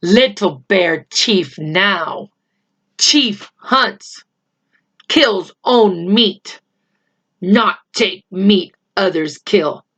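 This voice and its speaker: Woman acting a bossy, slow-spoken tiny chief. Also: medium pitch, lively intonation, fairly bright tone, exaggerated voice. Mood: proud, stern. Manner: blunt clipped broken phrasing, imperious. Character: proud, bossy, tiny Native man chief, stern and demanding. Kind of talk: children's storybook character voice.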